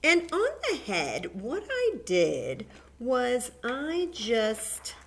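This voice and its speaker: A woman's voice: fairly high pitch, wildly swooping pitch, very slow, slight background noise. A woman hosting a library story time, guiding children patiently through a paper plate craft step by step.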